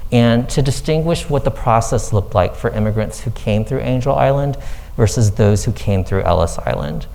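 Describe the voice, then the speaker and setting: A man born in Thailand, a foundation director, giving an earnest, measured presentation with slides to a live audience in a room. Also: lowish voice, creaky, darkish tone.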